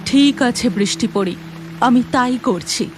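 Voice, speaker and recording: A woman voicing a cautious, gentle female cloud fairy in a children's cartoon, earnest and advising. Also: fairly high pitch, lively intonation, measured pace. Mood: cautioning. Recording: music behind voice.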